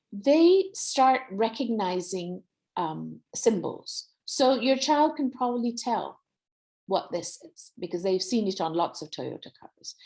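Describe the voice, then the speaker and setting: A woman's voice: fairly high pitch, a little mellow. A woman with a Nepali accent giving an encouraging, explanatory presentation to parents as a workshop presenter. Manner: encouraging, instructive, mixes Nepali phrases into English. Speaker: workshop presenter on early reading.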